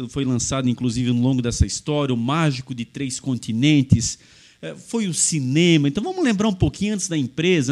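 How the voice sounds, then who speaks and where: A man's voice: fairly high pitch, a little mellow. A man hosting a podcast interview, asking long, winding questions in a formal way. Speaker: podcast host.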